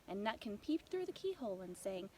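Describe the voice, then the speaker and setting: A woman reading a children's bedtime story as an expressive narrator. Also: mid-range voice, fairly quick, a little hiss or hum.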